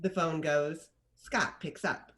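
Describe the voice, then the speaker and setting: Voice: medium pitch, fairly even intonation, slow pace, sharp tone. A narrator of unclear sex reading screenplay stage directions as scripted narration.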